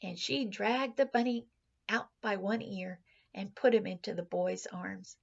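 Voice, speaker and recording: Creaky-voiced woman reading a storybook. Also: mid-range voice, fairly even intonation, unhurried, muffled recording. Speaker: teacher reading a children's story aloud. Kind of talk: expressive storybook read-aloud narration.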